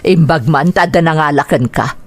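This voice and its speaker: Low-voiced, scornful Ilocano mother, acted by a woman. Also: moderate pace, dry recording. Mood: scornful, demanding. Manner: sarcastic, dismissive, grudging. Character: cold, scornful, belittling mother of a grown child. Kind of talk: Ilocano radio drama acting. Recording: music behind the voice.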